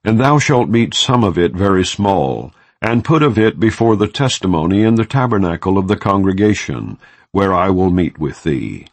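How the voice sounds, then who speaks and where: A man narrating an audiobook of scripture in a solemn, measured, formal manner. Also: low-pitched, lively intonation, no echo. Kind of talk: scripture reading, non-dramatized audiobook narration.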